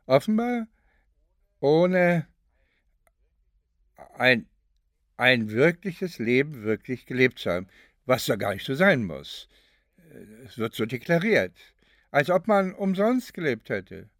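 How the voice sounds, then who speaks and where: An actor playing a pensive, grave man who gives halting, deliberate, thoughtful testimony as if speaking spontaneously. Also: mid-range voice, very animated, fairly bright tone, no echo, studio quality. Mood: searching, intense. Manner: halting, deliberate, thoughtful, with long drawn-out phrases. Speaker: performer in a radio play recording session. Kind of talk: re-enacting spontaneous reflective testimony.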